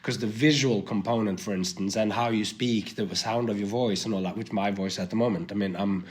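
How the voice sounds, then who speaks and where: A man with a cold and a hoarse voice, thinking aloud as a guest in a podcast conversation, with many restarts. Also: fairly low pitch, steady tone, brisk. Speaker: guest, performer.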